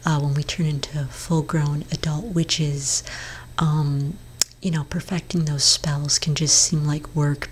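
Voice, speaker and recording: A woman with a low voice speaks in a soft, breathy whisper, as in an ASMR roleplay. She plays a gentle therapist, reassuring and a little hesitant as she counsels. A faint ambient noise sits behind her voice. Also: poor audio. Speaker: ASMR performer role-playing a therapist.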